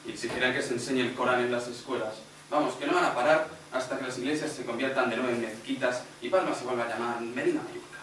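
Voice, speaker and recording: A man playing a rapid-fire fearmonger. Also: mid-range voice, steady tone, a bit bright, slight background noise, natural voice. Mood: alarmist. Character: fearmongering man, escalating wild predictions. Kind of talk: amateur workshop skit. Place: indoor workshop room. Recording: echoey room, distant mic.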